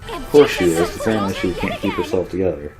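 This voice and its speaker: Very deep-voiced man gaming with a friend. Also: lively intonation, fast. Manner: wry, exasperated about the game. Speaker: co-host, gamer. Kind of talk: casual let's-play commentary. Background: game music.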